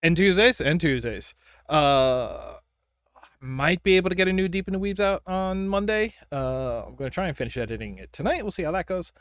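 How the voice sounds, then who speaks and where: A man's voice: sits high, moderate pace, a bit bright, dry recording, studio quality. A man hosting a livestream in casual gaming chat, thinking aloud and reacting to play as he goes. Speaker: livestream host.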